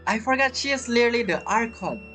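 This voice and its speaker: A woman streaming a game with casual, halting, joking commentary, talking back to the characters. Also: a little high, expressive. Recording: game music behind.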